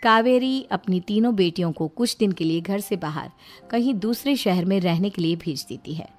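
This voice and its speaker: A woman's voice: mid-range voice, normal speed. Woman narrating a story over music. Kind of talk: scripted Hindi moral-story narration. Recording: music behind the voice. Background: background music.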